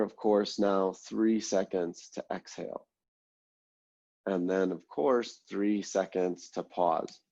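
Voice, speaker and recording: A man leading an online mindfulness class, congested and a little nasal, explaining breathing steps in a relaxed way with many ums and "right?" check-ins. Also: fairly low pitch, creaky, highs cut off. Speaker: mindfulness instructor.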